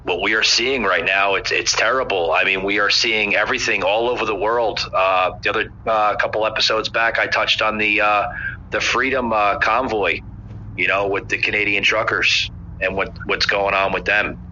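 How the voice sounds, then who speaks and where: A man hosting a podcast in casual political chat. He hesitates, repeats words and fills pauses with "uh". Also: lowish voice, steady tone, sharp tone, a little hiss or hum. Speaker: podcast host. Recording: muffled lo-fi.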